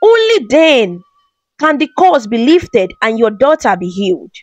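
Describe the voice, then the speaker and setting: A grave old chief priest delivers stern judgments in a storybook folktale, read by a woman. The lines come slowly and deliberately, with a lively rise and fall and a dry, close sound. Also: on the high side, dry recording, highs cut off, faint music behind. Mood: solemn. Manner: solemn, grave pronouncements. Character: solemn male village chief priest.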